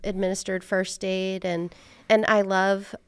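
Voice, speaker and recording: A woman's voice: mid-range voice, level tone, measured pace, smooth, no room sound, studio quality. An American woman hosting a podcast, chatting casually and informally with lots of "like" sprinkled in. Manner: conversational, informal, frequent use of "like". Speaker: podcast host. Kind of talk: casual interview chat.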